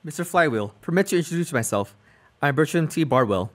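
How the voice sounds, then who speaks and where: A man's voice: fairly high pitch, expressive, fairly quick, lightly acted. A man playing an earnest, pompous reformer in a radio play, speaking in stiff, formal speeches. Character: earnest pompous crime-fighting committee man.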